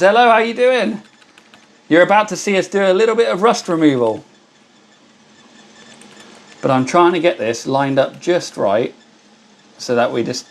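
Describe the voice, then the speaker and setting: A hoarse man hosting a casual live stream, chatting and greeting viewers by name. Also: a little high. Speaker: livestream host.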